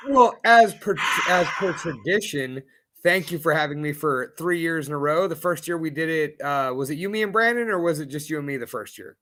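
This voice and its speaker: A man's voice: on the high side, brisk, a bit bright, a little hiss or hum. Playful, wordy man co-hosting a podcast. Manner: playful, wordy, mock-grandiose banter. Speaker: podcast co-host. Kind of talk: casual podcast chat.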